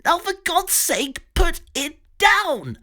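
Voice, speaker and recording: A man voicing a nervous, genteel talking pig who speaks like a posh British gentleman, fussy and jittery. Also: very high voice, expressive, measured pace, pitched above the performer's own voice, full character voice. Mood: frantic.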